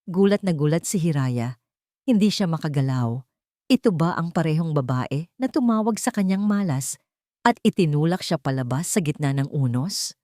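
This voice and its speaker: A woman's voice: sits low, animated, moderate pace, dark tone, no room sound, studio quality. A Filipino woman reading a scripted dramatic story as its narrator.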